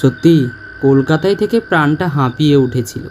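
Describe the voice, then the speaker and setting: Male actor for a young, curious, scared horror-cartoon narrator; mellow, dramatic, heavy reverb. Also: on the high side, animated, normal speed, a little hiss or hum, natural voice. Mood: uneasy. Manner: suspenseful, dramatic delivery. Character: young man, frightened, curious narrator of a ghost story. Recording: long reverb, music underneath.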